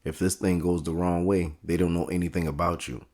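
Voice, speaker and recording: A man acts as a streetwise barbershop owner with a deep voice. He is guarded and firm, speaking plainly in an audio drama. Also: little pitch movement, a little fast, a little mellow. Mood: calm, resolute. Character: steady, guarded barbershop owner taking on mobsters.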